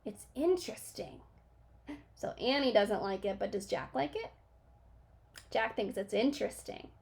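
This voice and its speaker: Young woman teacher reading to kids; slightly gravelly, explains as she goes. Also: mid-range voice. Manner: pauses to ask questions and explain. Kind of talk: reading a children's book aloud.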